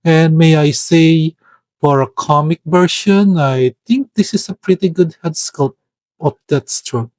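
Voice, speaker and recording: A man from the Philippines, giving a product review voice-over in a careful, hesitant way, often pausing to search for words. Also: a little high, darkish tone, no echo. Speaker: toy reviewer.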